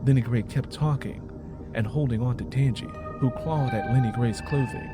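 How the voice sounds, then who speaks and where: A man's voice: medium pitch, normal speed, loud music behind the voice. A man reading a dramatic story as a scripted audiobook narration.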